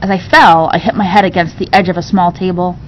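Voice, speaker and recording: A woman reading a classic novel aloud in an expressive storybook narration style. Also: quick pace. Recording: dull recording with low rumbling noise.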